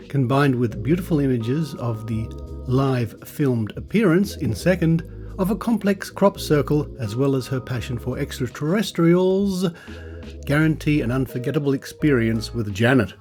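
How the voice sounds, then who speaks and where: A man's voice: mid-range voice, a little mellow. An Australian man hosting a podcast, talking casually and reading aloud in a wry, skeptical manner. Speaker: podcast host.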